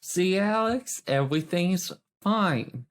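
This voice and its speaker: A woman improvising casually as a tabletop roleplaying player, insistent and repetitive, with halting phrases. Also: fairly low pitch, lively intonation. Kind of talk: casual in-character improvised chat.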